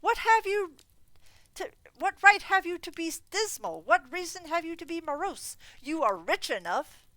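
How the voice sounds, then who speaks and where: Woman playing a bright, cheerful young man. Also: extremely high pitch, expressive, measured pace, dry recording, voice pushed up, full character voice. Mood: cheerful coaxing. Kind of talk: storybook reading.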